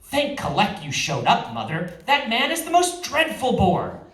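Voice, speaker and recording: A man's voice: sits high, wildly swooping pitch, a little slow, a bit bright, voice pushed up, heavily acted. A man voicing a flighty, haughty young noblewoman who gushes and complains dramatically in a fantasy novel reading. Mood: petulant, exasperated. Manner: gushing, dramatic, petulant. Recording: roomy live reading, far from mic.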